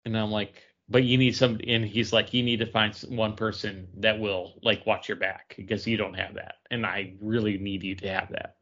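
A man's voice: lowish voice, level tone, moderate pace, hardly any pauses, fairly bright tone, lo-fi sound. A man casually talking through a story as a podcast co-host. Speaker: comic book podcast co-host. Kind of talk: casual book discussion.

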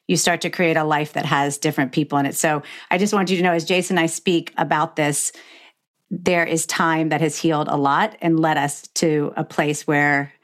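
Female podcast host, middle-aged, low register, candid and emphatic in conversation. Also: steady tone, moderate pace.

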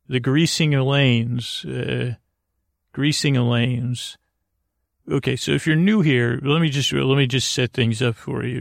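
A man hosting a sleep podcast in a rambling, halting monologue that stammers and wanders off on tangents, in a gravelly voice. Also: mid-range voice, no echo, clean studio sound. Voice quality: creaky, gravelly. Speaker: podcast host. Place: closet, close dry recording.

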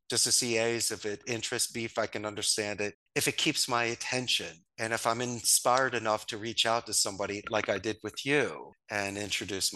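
A man from Northeast Philadelphia, a business owner guesting on a podcast, talking in a casual and animated storytelling way. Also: rough recording. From Northeast Philadelphia, USA. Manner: animated, storytelling, quick jumps in pitch when amused. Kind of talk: casual interview talk.

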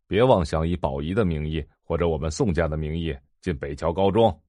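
A man's voice: rumbling low, a little fast, dark tone, dry recording. An actor playing a stern, commanding middle-aged father of a wealthy family, cold and severe, in an audio drama. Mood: stern, threatening.

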